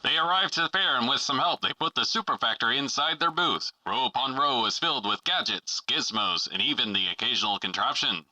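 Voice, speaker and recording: Sharp, bright man narrating an adventure serial. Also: mid-range voice, expressive, a little fast, few pauses, poor audio. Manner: theatrical, announcer-like. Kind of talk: dramatic scripted narration in old-time adventure serial manner.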